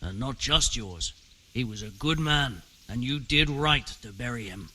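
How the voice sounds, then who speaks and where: A man's voice: mid-range voice, lively intonation, a little slow, bright, forceful tone. An actor playing a blunt, questioning townsman in a medieval video game, with a frank, exclamatory manner. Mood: sympathetic.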